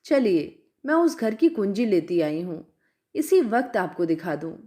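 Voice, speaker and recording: A woman's voice: mid-range voice, sing-song, measured pace, warm tone. A woman voicing a pushy, flirtatious female betel-leaf seller from a story, chatty, coaxing and insistent. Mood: bargaining, coaxing.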